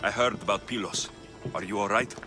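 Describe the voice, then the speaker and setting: An actor playing a determined, brooding young Spartan mercenary in a video game, blunt and intense. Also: male voice, lowish voice, steady tone, fairly quick, close to an everyday voice. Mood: earnest, urgent. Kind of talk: dramatic video game cutscene acting. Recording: prominent music behind the voice.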